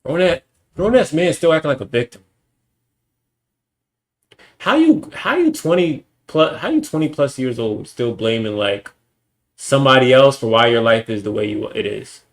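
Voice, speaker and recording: A man's voice: mid-range voice, expressive, moderate pace, long pauses. A young man streaming alone, ranting bluntly and with lots of profanity in a casual monologue. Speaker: livestreamer.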